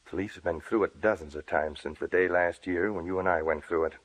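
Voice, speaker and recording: Deep, husky, grave lawyer. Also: male voice, fairly even intonation. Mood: grave, firm. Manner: grave, measured, authoritative. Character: businesslike lawyer, grave, matter-of-fact, in charge. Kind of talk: old-time radio drama acting.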